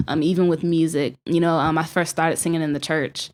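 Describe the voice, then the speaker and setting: A young woman from South Carolina, a guest musician chatting in a podcast interview, warm and giggly with lots of ums. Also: deep voice, little pitch movement, brisk. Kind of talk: casual interview chat.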